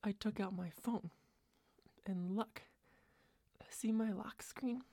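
Slow, gravelly whispering young woman. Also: medium pitch, steady tone, no echo. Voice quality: soft whisper. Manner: rambling, doting, apologetic. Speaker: ASMR roleplayer. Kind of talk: whispered girlfriend roleplay ASMR. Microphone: close mic.

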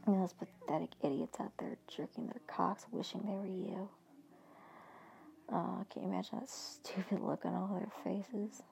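Whispering woman with a gravelly edge. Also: mid-range voice, moderate pace, darkish tone. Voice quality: breathy whisper. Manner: intimate, breathless. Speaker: erotic audio narrator. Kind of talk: whispered second-person erotic narration.

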